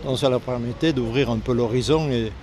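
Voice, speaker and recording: An older man with a southern French accent from Lozère, a retired air force serviceman speaking earnestly in a street interview, halting when the subject turns painful. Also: medium pitch, darkish tone. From Lozère, southern France. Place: outdoors on a city street.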